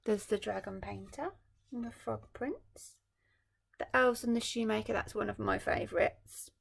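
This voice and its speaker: A woman teacher reading a storybook aloud to children, adding gentle, musing asides to her young listeners. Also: medium pitch, unhurried, vocal fry, husky. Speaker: primary school teacher.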